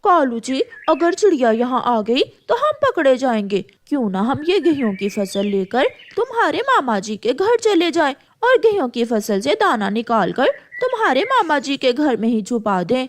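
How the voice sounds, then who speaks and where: A woman's voice: sits high, very animated, moderate pace, hardly any pauses. A woman voicing a scheming, thieving crow in a cartoon, with a wheedling manner.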